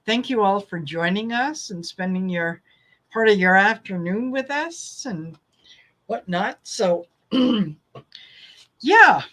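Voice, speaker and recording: A woman's voice: mid-range voice, animated, takes it slowly. Laughing woman hosting a tarot stream. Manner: warm, agreeable, laughs often. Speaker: tarot channel host. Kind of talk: casual livestream chat.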